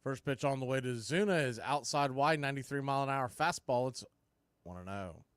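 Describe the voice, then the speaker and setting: A man doing live baseball play-by-play for a fan stream, mixing clipped pitch calls with casual, partisan asides. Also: fairly quick, clear, resonant tone, dry recording.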